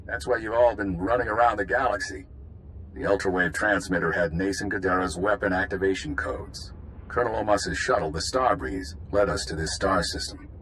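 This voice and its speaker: Game squad commander: low, bright-edged, confident and authoritative, faint ambience. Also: male voice, a little fast, a little hiss or hum, poor audio. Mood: assured briefing. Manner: authoritative briefing delivery. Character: confident male spymaster commanding a covert squad. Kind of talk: video game voice acting.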